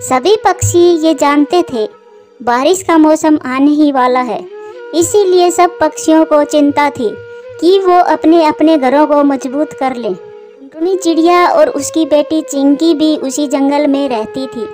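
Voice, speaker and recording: Woman narrator, high voice, scripted children's storytelling, soft music behind. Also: unhurried.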